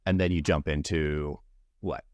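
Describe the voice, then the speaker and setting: A man's voice: extremely low pitch, fairly even intonation, a little slow, darkish tone, dry recording, clean studio sound. A man hosting a casual interview, prompting his guest with short questions and brief acknowledgements. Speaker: interview host.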